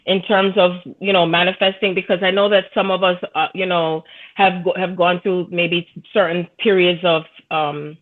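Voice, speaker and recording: A woman's voice: fairly low pitch, level tone, moderate pace. Woman on a tinny phone line. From Jamaica. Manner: rambling, earnest, many fillers and restarts. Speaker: podcast guest, spiritual reader. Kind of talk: casual spiritual conversation.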